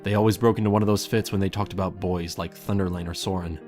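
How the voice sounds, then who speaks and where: A man's voice: low voice, steady tone, quick pace, darkish tone. A man reading scripted fan fiction as the narrator. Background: music.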